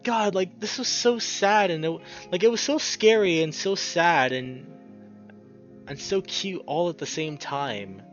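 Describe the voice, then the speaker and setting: A man's voice: sits high, expressive, measured pace, dull audio. A man giving casual, emotional gameplay commentary as a let's play host, effusive and exclamatory, drawing out his words over game music.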